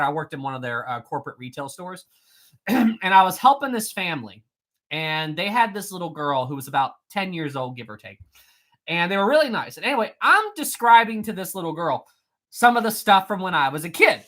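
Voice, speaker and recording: A man in his thirties talks casually on a live stream. His voice is bright and lively, swinging high and low as he teases viewers. He laughs often and keeps clearing his throat. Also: a little fast. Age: 30s. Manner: playful and teasing, laughs often. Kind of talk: casual livestream chat reading comments.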